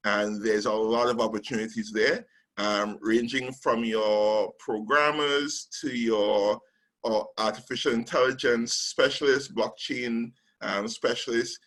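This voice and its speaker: A man from Trinidad and Tobago, a recruitment specialist, giving a measured webinar presentation with frequent ums and pauses over a call microphone. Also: mid-range voice, fairly even intonation, a bit bright, low-quality recording.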